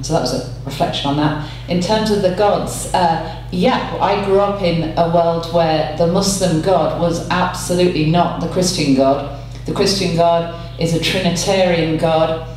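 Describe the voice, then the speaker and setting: A woman giving a measured, reflective explanation as a panel speaker. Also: deep voice, a little mellow. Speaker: panel speaker, interfaith academic. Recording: echoey hall, distant mic, faint hum.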